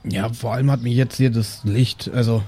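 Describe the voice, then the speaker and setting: A man's voice: mid-range voice, fairly even intonation, moderate pace, dark tone, husky, close to an everyday voice. A man playing a hungover, sluggish rock musician with a hesitant, noncommittal manner in an improvised audio drama. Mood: hesitant, grumbling.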